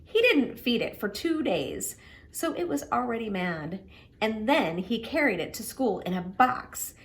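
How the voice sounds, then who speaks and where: A woman reading a children's story aloud in an expressive way, leaning dramatically on key words. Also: on the high side, unhurried. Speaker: children's book reader.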